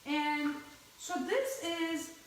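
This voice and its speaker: A woman's voice: very high voice, extremely slow, noisy background. A woman from India hosting a live home cooking demonstration, chatting through each step as she stirs. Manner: chatty, explaining each step while stirring. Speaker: cooking show host. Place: home kitchen. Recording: echoey kitchen from far off.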